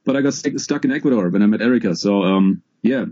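A man, a guest in a casual interview, talking in a relaxed way with many fillers. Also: lowish voice, quick pace, highs cut off. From Germany.

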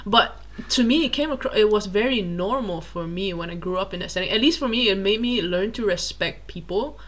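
A woman's voice: medium pitch, brisk, a bit bright. A young woman from Malaysia co-hosting a casual chat, chatty and teasing. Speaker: co-host.

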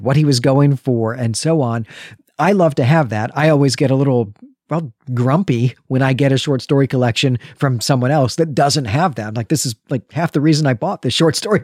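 A man talks as a podcast host in an enthusiastic, effusive way. His pitch sits in an ordinary middle range, and the sound is dry, with no room around it. The delivery is casual, like a review shared in conversation. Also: darkish tone, no echo.

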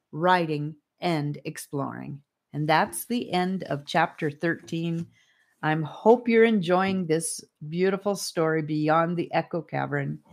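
A woman narrating an audiobook, reading a story. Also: sits low.

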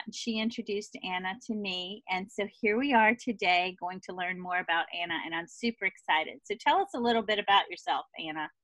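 A woman's voice: medium pitch, bright, forceful tone, no echo, poor audio. Enthusiastic middle-aged woman podcast host. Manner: enthusiastic, effusive. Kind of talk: conversational interview.

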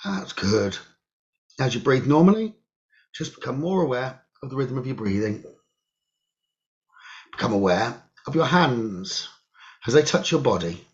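A man leading a guided meditation in a slow, soothing instructional style, speaking in measured, hushed short phrases with pauses. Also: mid-range voice, big pitch swings, lo-fi sound.

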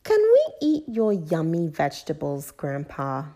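A woman voicing an excitable, bossy little girl piglet in a children's storybook, with a high, childlike voice. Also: unhurried, exaggerated voice. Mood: eager. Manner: eager, exclamatory.

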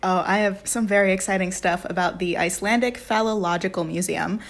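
A woman presents a fact on a relaxed podcast. She is enthusiastic, keeps breaking into giggles and leans on little fillers as she goes. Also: mid-range voice, a little fast. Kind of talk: casual podcast chat.

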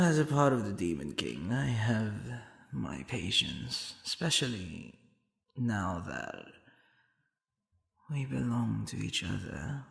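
Man playing a gravelly, suave demon boyfriend. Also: mid-range voice, echoey room, full character voice.

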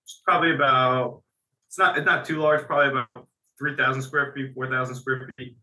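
A man's voice: medium pitch, little pitch movement, a little fast, bright, forceful tone. A man speaking as a business guest in a casual interview, talking conversationally and repeating phrases as he restarts his sentences. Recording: echoey room.

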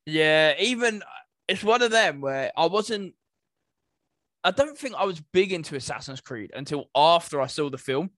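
A man's voice: fairly high pitch, lively intonation, normal speed, fairly bright tone, no room sound. A man in casual podcast conversation as co-host, thinking aloud with some hesitation. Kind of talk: casual chat about video game movies.

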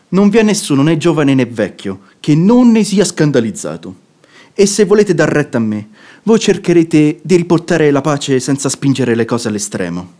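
An actor playing a frank, reasonable, righteous gentleman in a radio drama, arguing eloquently and emphatically. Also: fairly high pitch. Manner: eloquent, emphatic, rhetorical questioning. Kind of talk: theatrical Italian radio drama acting.

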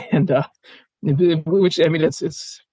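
A man's voice: on the high side, fairly even intonation, slow pace, dark tone, breathy edge, no room sound, clean studio sound. A man speaking casually as a podcast guest, stammering and often repeating words as he goes. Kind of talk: casual interview talk. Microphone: video call.

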